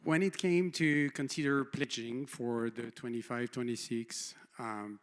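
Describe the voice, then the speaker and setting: A man's voice: medium pitch, fairly even intonation, unhurried. A middle-aged man with a French accent speaking informally and earnestly to a congregation, a little hesitant and unpolished as a public speaker. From France. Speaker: congregation member, stewardship committee chair. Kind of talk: informal personal testimonial to a congregation. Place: church service, speaking before congregation.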